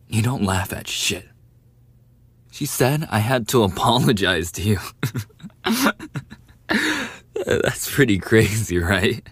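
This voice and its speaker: A man's voice: lowish voice, no room sound. A man playing a brash, defensive young male bully with a desperate side, swearing and taunting in an audio roleplay. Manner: foul-mouthed, taunting, defensive talk to the listener. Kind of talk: boyfriend ASMR audio roleplay acting.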